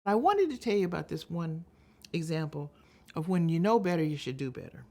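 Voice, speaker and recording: An older American woman from Texas giving a storytelling advocacy talk, speaking deliberately and emphatically with dramatic pauses. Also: sits low, big pitch swings, dark tone. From Texas, United States. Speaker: public speaker.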